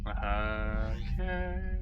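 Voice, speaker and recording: A man's voice: lowish voice, expressive, drawn-out words, highs cut off, over loud music. A man streaming a video game, chatting casually and playfully while reading and answering chat. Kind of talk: casual let's-play commentary.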